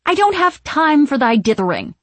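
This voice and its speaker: A woman's voice: mid-range voice, expressive, unhurried, darkish tone, breathy edge, dry recording. A woman playing a haughty, imperious villainous witch in an audiobook, with a piercing voice and grand, scornful archaic diction. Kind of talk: audiobook character acting.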